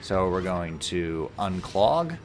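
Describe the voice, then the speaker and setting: A man, a little hoarse, casually commentating a video game and explaining puzzles step by step with jokes. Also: low voice, big pitch swings, slow, a little hiss or hum. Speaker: let's play commentator.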